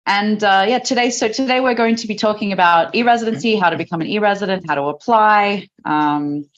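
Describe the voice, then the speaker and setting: A woman's voice: medium pitch, a little fast. Australian woman hosting a webinar. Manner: friendly, chatty, with fillers. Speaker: webinar host. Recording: dull lo-fi video call audio.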